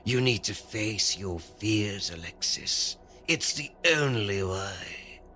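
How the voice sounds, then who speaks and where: A man's voice: on the low side, animated, takes it slowly, very noisy. An actor playing a menacing, controlling male stalker in an audio thriller, with a threatening, taunting manner. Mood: cold, taunting. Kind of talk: audio thriller drama acting. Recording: music under the voice.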